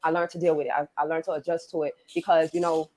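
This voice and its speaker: A woman's voice: sits low, flat delivery, fast talker, a little mellow. A woman giving a personal account as a resident interviewed for a documentary. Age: adult. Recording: noisy documentary interview clip.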